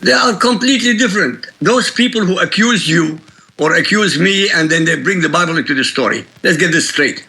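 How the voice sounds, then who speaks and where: A man from Iraq with an Iraqi Arabic accent, a native Arabic speaker, answering interview questions emphatically and with forceful repetition. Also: sits high, lively intonation, fairly quick, low-quality recording. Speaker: guest author.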